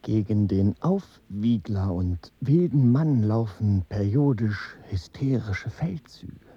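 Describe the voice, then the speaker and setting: Husky, deliberate chronicler. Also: male voice, fairly low pitch, animated, warm tone, no room sound, highs cut off, heavily acted. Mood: stern. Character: stern male chronicler of revolutionary lives, clipped and emphatic. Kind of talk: German radio drama narration.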